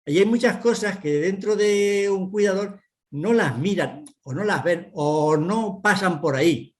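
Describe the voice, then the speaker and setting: A man with a Castilian Spanish accent giving spontaneous testimony, repeating himself in a halting, insistent way. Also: sits high. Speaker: dependent person and carer.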